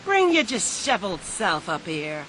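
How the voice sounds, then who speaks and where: An actress playing a brassy, foul-mouthed Victorian brothel madam with a Cockney accent in a video game, brash and mocking. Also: female voice, mid-range voice, sing-song, measured pace, slight background noise, low-quality recording. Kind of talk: video game cutscene acting. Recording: loud music behind.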